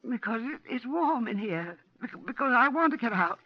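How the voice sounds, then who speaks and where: A woman's voice: a little high, lively intonation, normal speed. An actress playing a frightened older widow, pleading and trying to placate her captor in a 1940s radio drama. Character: frightened old widow held captive at home. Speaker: heroine and narrator. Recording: dull 1940s radio broadcast.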